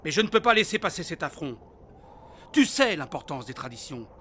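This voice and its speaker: An actor playing a grumbling, vengeful sea god who talks in casual slang, in a comic audio drama. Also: male voice, on the high side, very animated, brisk, sharp tone, slight background noise. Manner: slangy, petulant.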